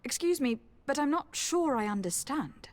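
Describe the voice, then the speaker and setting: A woman's voice: high-pitched, animated, moderate pace, dry recording. A young woman playing a courteous, composed noble envoy in a video game, speaking formally and asking probing questions. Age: young adult. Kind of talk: dramatic game dialogue.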